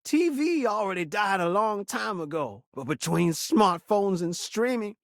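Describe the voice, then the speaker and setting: A man's voice: high-pitched, animated, a little slow, dry recording, clean studio sound. A man voicing a video game talking television, a flamboyant showman who speaks in theatrical rhymes with a self-pitying, dramatic streak. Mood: despairing rant. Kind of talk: video game character voice acting.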